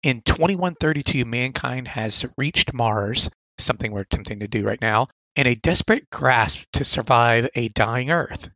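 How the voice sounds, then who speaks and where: A man's voice: mid-range voice, lively intonation, normal speed, pushed and bright, no echo, low-quality recording. A man with a sore throat casually reviewing comic catalog picks, reading blurbs aloud with enthusiastic asides.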